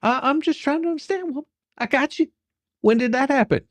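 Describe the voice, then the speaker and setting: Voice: sits high, a little fast, no echo, lightly acted. A performer playing a pushy, exasperated attorney in a dramatic reading of a deposition, badgering, sarcastic and coarse. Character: pushy, folksy, exasperated attorney questioning a witness.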